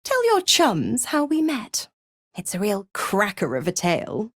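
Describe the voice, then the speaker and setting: A woman's voice: medium pitch, huge pitch swings, measured pace, no echo, clean studio sound. An actress playing a seductive, scheming female devil in a video game, with a teasing, sardonic, theatrical and condescending drawl. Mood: wry, goading. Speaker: video game character actor.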